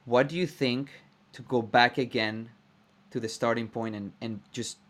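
A man hosting a podcast, chatting casually and warmly echoing his guest's words back. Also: medium pitch, fairly even intonation, moderate pace. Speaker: podcast host. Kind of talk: casual interview chat.

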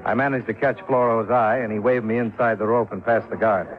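An actor playing a hard-boiled insurance investigator with a dry, sardonic, clipped manner in a 1950s radio drama. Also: male voice, lowish voice, fairly even intonation, brisk, over music, lightly acted. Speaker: lead actor, narrating in character. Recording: thin, tinny 1950s radio recording.

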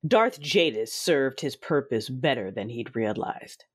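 An actor playing a cruel, vengeful Sith lord, narrating an audio drama in a deliberate, commanding, menacing way with a husky voice. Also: mid-range voice, expressive. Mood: cold gloating. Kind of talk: first-person audio drama narration with dialogue. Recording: dramatic music behind the voice. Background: music.

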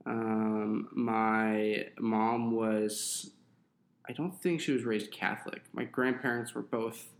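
Gravelly man in an echoey room. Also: fairly low pitch, takes it slowly. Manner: relaxed, rambling with fillers. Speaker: podcast co-host. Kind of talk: casual podcast chat.

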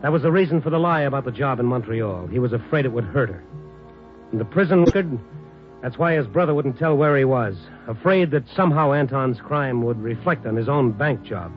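A man acting a dogged, compassionate male newspaper reporter in an old radio play. He speaks in a mid-pitched voice with terse, probing lines. The recording is muffled, with music in it. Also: expressive, a little fast, close to an everyday voice. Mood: urgent, brooding. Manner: terse first-person storytelling and probing questions. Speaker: actor in the lead role and narrator. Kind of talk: 1950s radio drama acting.

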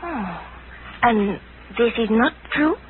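Admiring wistful hostess, old radio drama, thin sound with clear hiss. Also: female voice, on the high side, animated, takes it slowly. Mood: curious. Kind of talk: 1940s radio acting. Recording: noisy, tinny old radio recording.

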